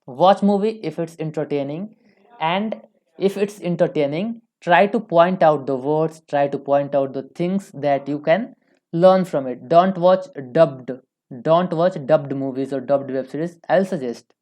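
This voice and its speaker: Female online tutor, young, Indian accent, low mellow voice, informal and emphatic lecturing style. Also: unhurried. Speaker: online English teacher.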